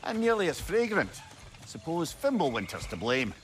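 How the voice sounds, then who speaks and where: An actor playing a witty, learned older advisor in a video game, articulate, wry and teasing. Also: male voice, a little high, wildly swooping pitch, moderate pace, a little hiss or hum. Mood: musing. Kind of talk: dramatic video game acting.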